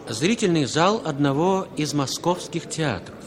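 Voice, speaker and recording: An actor as the narrator of a spy drama, reading scripted narration in a 1960s radio play. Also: male voice, a little high, expressive, unhurried. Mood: narrating.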